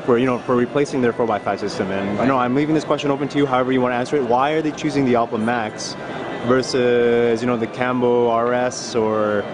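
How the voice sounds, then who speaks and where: A man asks questions in a casual, lightly joking way, pausing with fillers as he thinks. His voice sits in a middle range, and the chatter of a trade fair crowd fills the background. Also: medium pitch, fairly even intonation. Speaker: interviewer, photographer. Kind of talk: casual interview at a trade show. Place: busy trade fair booth, indoors.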